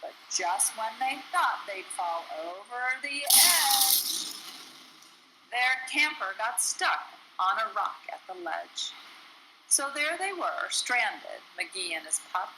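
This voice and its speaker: A woman reads a children's rhyming story with dramatic, sing-song expression. Her voice sits somewhat high and moves around a great deal in pitch. There is clear background noise on the recording. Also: very animated, slow. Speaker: storybook reader. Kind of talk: expressive read-aloud of a rhyming children's picture book.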